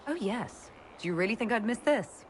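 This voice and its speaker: An actress playing a poised, playfully wry young heroine and leader in a fantasy video game. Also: female voice, fairly high pitch, expressive, normal speed, slight background noise, lightly acted. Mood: amused. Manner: wry, lightly teasing.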